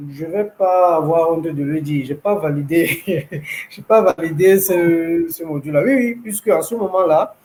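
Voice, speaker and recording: Young man, school founder, interview guest; measured, halting delivery, a touch high-pitched, faint hiss. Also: darkish tone, poor audio. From Cameroon. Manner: measured, hesitant with many fillers and repeats. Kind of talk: interview, personal account.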